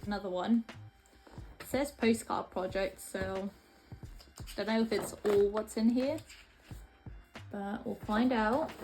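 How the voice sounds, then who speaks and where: Young woman from Latvia, gravelly and offhand. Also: medium pitch, darkish tone, low-quality recording. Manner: rambling, hesitant, offhand. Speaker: art vlogger. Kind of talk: casual narration while flipping through sketchbooks.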